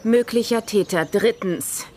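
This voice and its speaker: An actress playing a businesslike public prosecutor in a radio crime drama. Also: female voice, a little high, a little slow, a little mellow, a little hiss or hum, natural voice.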